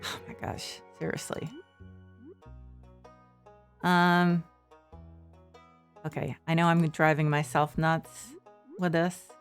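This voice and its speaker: A woman streaming a farming game from her shared living room, rambling softly with many fillers. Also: deep voice, little pitch movement, takes it slowly, long pauses, faint music behind. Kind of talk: casual gameplay commentary.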